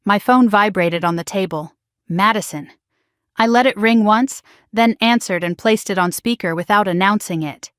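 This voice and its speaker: A woman narrating a first-person story in a calm, measured, even manner. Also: mid-range voice, clear, resonant tone, dry recording, studio quality.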